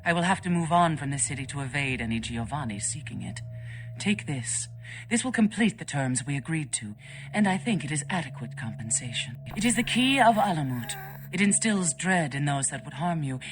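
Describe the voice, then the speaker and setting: Game role: grave female vampire scholar, formal and forbidding, very low register, light music underneath. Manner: formal, measured, foreboding. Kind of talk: video game voice acting.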